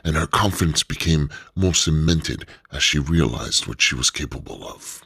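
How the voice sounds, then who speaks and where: Very deep-voiced male narrator. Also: animated, bright, forceful tone, husky, no room sound, clean studio sound. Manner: measured, storytelling. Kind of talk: scripted story narration.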